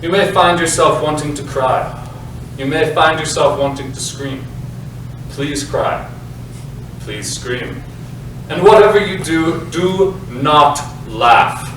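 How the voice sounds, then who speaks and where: A man playing a pompous playwright in an echoey hall. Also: fairly high pitch. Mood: grandiose, solemn. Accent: British. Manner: grandiose, melodramatic, dramatic pauses. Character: pompous, self-important playwright addressing his audience. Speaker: actor. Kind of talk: theatrical monologue at a staged reading. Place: live staged reading, echoey indoor space. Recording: echoey room, distant mic, faint noise.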